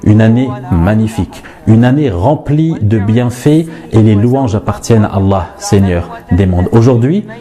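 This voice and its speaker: Mellow-voiced French-born teacher. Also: male voice, lowish voice, animated, moderate pace, hardly any pauses, soft background music. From France. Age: 40s. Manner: chatty, self-mocking, earnest. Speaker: Arabic teacher and institute director. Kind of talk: casual self-introduction.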